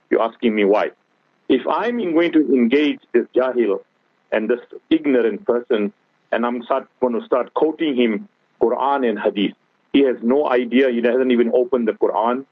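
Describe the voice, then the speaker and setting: Husky-voiced man preaching over tinny radio. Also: mid-range voice, dry recording. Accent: South African. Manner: earnest preaching, repeatedly addressing host. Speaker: guest scholar. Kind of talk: religious talk radio discussion. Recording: tinny phone-like radio broadcast.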